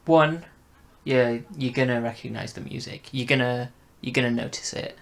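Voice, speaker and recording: A man's voice: medium pitch, fairly even intonation, normal speed, vocal fry, a bit bright. A man hosting a casual review chat, leading his co-host along with questions and prompts. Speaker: host of an audio drama review show.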